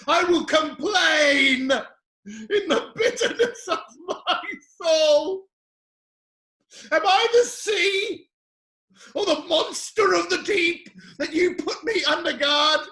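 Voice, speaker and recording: Casting: actor for anguished, impassioned biblical sufferer, slow and halting delivery, dramatic reading in an echoing room. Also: full character voice. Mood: bitter defiance. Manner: impassioned, halting, swinging from low murmurs to anguished shouts. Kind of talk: epic dramatic Bible reading. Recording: roomy, echoing space.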